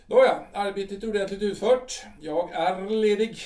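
A man's voice: high-pitched, darkish tone, far from the mic, close to an everyday voice. An actor playing a bitter, sarcastic middle-aged artillery captain who mocks his wife in an audio drama. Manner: mocking, sardonic. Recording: roomy, off-mic sound.

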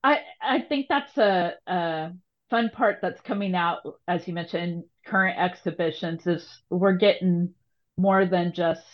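A woman's voice: fairly low pitch, unhurried, muffled recording. A woman hosting a casual conversational interview, thinking aloud in a wordy, hesitant way. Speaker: podcast host.

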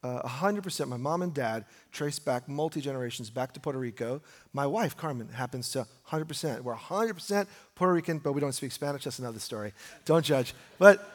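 A man's voice: medium pitch, brisk. An American man preaching a conversational sermon as a pastor, informal in manner, posing rhetorical questions and repeating phrases for emphasis. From United States. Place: large reverberant room, preaching live. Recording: reverberant hall.

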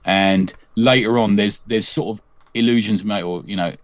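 Quick, low-voiced man, dry sound. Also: steady tone, dry recording. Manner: chatty, ends points with tag questions. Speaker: podcast co-host. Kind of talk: casual podcast interview.

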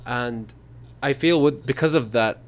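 A man's voice: medium pitch, measured pace. A man from the UK, chatting thoughtfully and easily on a podcast. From United Kingdom. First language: English. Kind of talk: casual podcast chat.

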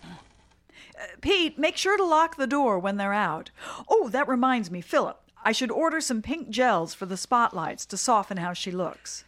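An actress playing a devoted, ambitious and busy museum assistant in a radio drama, earnest and efficient. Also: female voice, a little high, big pitch swings, moderate pace, a bit bright. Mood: brisk. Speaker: actor.

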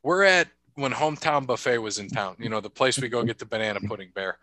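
A man's voice: mid-range voice, fast talker, sharp tone, dry recording, rough recording. A man hosting a casual chat, rambling through stories and laughing often. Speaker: podcast host.

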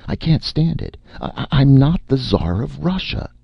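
A man's voice: low-pitched, very animated, normal speed, gravelly, breathy edge, no room sound, lo-fi sound, voice pushed up, heavily acted. A man voicing a cowardly, easily panicked man in a story, with hesitant, stammering delivery and shaky bravado.

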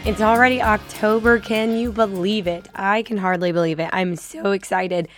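Woman with a cold, raspy and chatty. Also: mid-range voice, steady tone, normal speed, faint music behind. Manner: upbeat, chatty, encouraging. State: has a cold, slightly raspy. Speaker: podcast host and style coach. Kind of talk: casual faith-based podcast talk.

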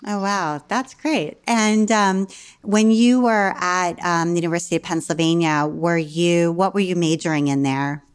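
A woman's voice: fairly low pitch, moderate pace, clean tone. A woman hosting a podcast, reading an ad and interviewing a guest in a friendly, upbeat way with frequent laughs. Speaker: podcast host.

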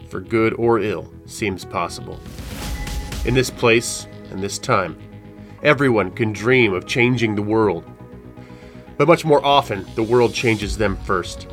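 An actor as the solemn narrator of a fantasy cyberpunk audio drama, with measured, scripted delivery. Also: male voice, lowish voice, lightly acted.

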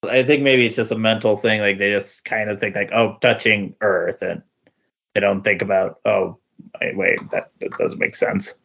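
A man in a casual podcast discussion about comics, speaking in halting, agreeable remarks. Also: on the low side, fairly even intonation, gravelly, fairly bright tone, slightly rough. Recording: dull, lo-fi voice recording.

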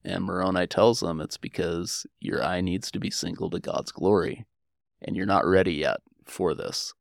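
A man's voice: low voice, moderate pace, creaky, dry recording, studio quality. A man speaking as a historian guest, explaining religious history in a conversational way.

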